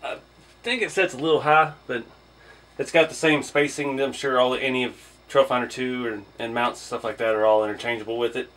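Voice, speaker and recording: A man's voice: mid-range voice, fairly even intonation, brisk, fairly bright tone. A man giving an informal hands-on first look as a hobby reviewer, thinking aloud as he goes. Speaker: hobby vlogger reviewing an RC truck.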